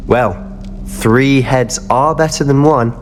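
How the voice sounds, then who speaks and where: An actor playing a cocky, impatient teenage wizard schoolboy in a video game, with a brisk, wry delivery. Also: male voice, mid-range voice, a little hiss or hum, lightly acted. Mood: wry, teasing. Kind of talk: dramatic video game voice acting. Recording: background music under voice.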